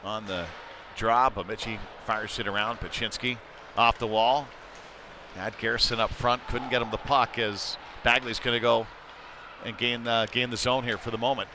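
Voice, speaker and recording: A man with a mid-range voice commentates a live ice hockey game. He calls the play in short, clipped fragments. Clear noise from the rink runs behind his voice throughout. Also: medium pitch, fairly even intonation, moderate pace. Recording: noisy rink ambience behind voice.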